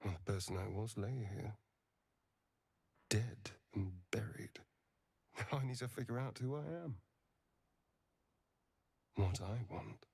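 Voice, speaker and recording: A man's voice: fairly low pitch, slow, creaky, pauses often, darkish tone, slightly rough, full character voice. An actor playing a charming, wounded male vampire spawn in a video game, with a theatrical, drawling, wry delivery. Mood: reflective, sombre.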